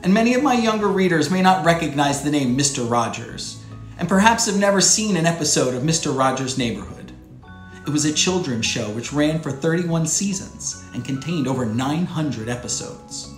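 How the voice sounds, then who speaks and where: An American man in his thirties with a slightly high voice presents a read-aloud show for children. He speaks in clear, simple phrases, as if to young listeners, with some music behind him. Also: lively intonation, moderate pace, roomy sound. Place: small furnished room indoors.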